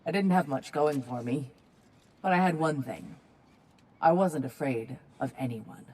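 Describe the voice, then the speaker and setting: A woman's voice: extremely low pitch, unhurried, mellow, husky, heavily acted. A woman playing a plain-spoken, fearless, proud warrior in a video game, blunt and matter-of-fact. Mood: frank, reminiscing. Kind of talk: dramatic video game acting.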